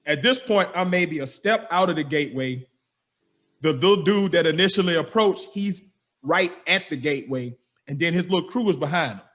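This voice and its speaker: A young man, a podcast host telling a story with lively energy, slangy and acting out the dialogue. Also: high-pitched. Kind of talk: animated storytelling.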